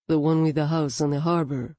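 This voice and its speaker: A woman narrator voicing an adult man, a gentle boyfriend with a slight Argentinian accent, speaking softly and sympathetically. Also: deep voice, fairly even intonation, brisk, no echo, clean studio sound, natural voice. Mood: sympathetic.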